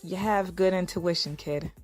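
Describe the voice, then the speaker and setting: A woman's voice: lowish voice, unhurried, darkish tone, soft background music, close to an everyday voice. An actress playing a young married woman in an audio drama, narrating and talking in a wry, conversational way. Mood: playful. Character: Iris, weary young married woman narrating her own story. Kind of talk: first-person audio drama narration and dialogue.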